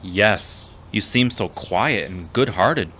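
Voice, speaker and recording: A man playing a gentle, reserved, long-suffering character in an audio drama, with a measured, formal manner. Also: on the low side, lively intonation, exaggerated voice. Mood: hesitant, thoughtful. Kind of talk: scripted audio drama acting.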